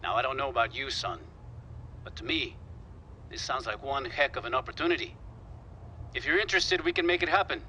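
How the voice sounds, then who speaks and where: A man's voice: mid-range voice, a little fast, long pauses, bright, forceful tone, slight background noise, low-quality recording. A man acting a confident, persuasive older football figure urging a young player on in a video game cutscene. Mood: confident pitching. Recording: faint music behind.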